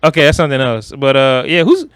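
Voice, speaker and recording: A man's voice: mid-range voice, big pitch swings, quick pace, fairly bright tone, clear, resonant tone, no echo. A man talking casually about movies as a podcast co-host, in a blunt, slangy way with plenty of swearing.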